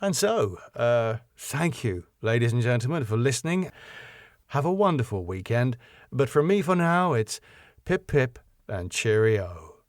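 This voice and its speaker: A man's voice: mid-range voice, very animated, a little slow, no echo. Middle-aged British man, dry close sound. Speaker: podcast host, writer and narrator. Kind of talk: scripted story narration and chatty podcast outro.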